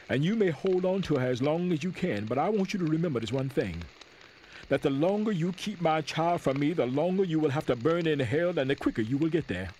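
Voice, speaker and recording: Male, acting a proud soldier father in a historical stage reading; emphatic, righteous oratory, fairly high voice, some background noise. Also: darkish tone, natural voice. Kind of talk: dramatic reading of a historical letter.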